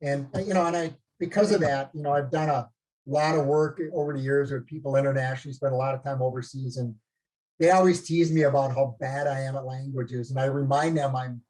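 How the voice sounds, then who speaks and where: A man's voice: mid-range voice, fairly even intonation, brisk, a little mellow, poor audio. A man in his late fifties from northern Minnesota with a Minnesotan accent, talking about his career as a guest on a live video call, rambling and self-deprecating with many restarts. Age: late 50s. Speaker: featured guest. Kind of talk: conversational talk on a live call.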